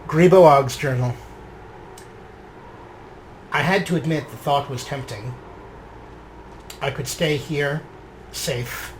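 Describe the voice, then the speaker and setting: A man's voice: fairly high pitch, slow pace, long pauses, slight background noise. A man narrating a video game series as its host, partly reading in character. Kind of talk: scripted in-character journal narration and show commentary.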